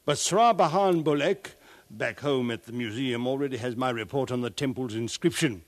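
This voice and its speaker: A man's voice: mid-range voice, normal speed, no echo, close to an everyday voice. A man acting a pompous, musing archaeologist of the far future in a 1950s radio drama. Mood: grave, pompous. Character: solemn scholarly male archaeologist of the far future.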